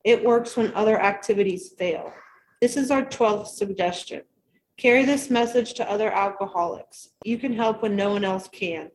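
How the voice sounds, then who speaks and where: A woman leading a meeting, reading a passage aloud in a steady, measured way. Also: medium pitch, poor audio.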